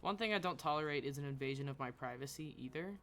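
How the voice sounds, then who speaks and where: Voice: mid-range voice, lively intonation, fast, a bit bright. A game streamer chatting casually and rambling while thinking aloud on a live stream.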